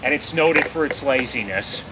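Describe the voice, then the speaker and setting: Man acting offended slow-witted cop, medium pitch, plodding, indignant, radio comedy relief, very noisy old recording. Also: expressive, close to an everyday voice. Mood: affronted.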